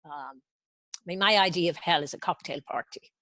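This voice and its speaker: A woman's voice: lowish voice, brisk, no echo. A woman from Ireland, talking casually and hesitantly as a guest practitioner. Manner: hesitant, searching for words, animated when describing overwhelm. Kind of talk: casual conversation in a tapping session.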